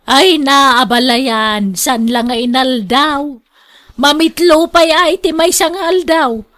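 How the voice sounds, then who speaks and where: A woman acts out an exasperated older mother in a radio drama. Her voice is high, and she talks in a weary, complaining way, as someone tired of scolding a wayward son. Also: takes it slowly, a bit bright.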